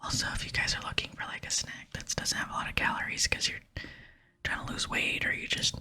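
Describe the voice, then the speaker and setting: A woman's voice: fairly quick, bright, forceful tone. A woman whispering breathily in a casual, tentative ASMR style as she comments on gameplay. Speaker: gaming ASMR creator.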